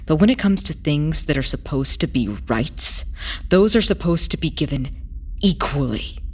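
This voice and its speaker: A woman playing a weary, idealistic former smuggler in an audio drama, telling their past in a hesitant, wistful way with pauses. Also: deep voice, animated, husky. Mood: wistful, bitter. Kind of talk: audio drama acting, halting first-person testimony.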